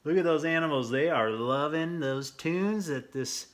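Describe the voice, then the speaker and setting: A man reading a children's picture book aloud as host, playful and theatrical, with expressive asides and questions. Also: fairly high pitch, measured pace. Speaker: storyteller, host of a read-aloud show.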